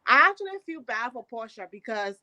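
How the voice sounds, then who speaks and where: A woman from Liberia calls in over a video call with a high, bright voice. She pushes her opinions emphatically and often laughs between points. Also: sing-song, fairly quick. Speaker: caller. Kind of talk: casual opinionated chat.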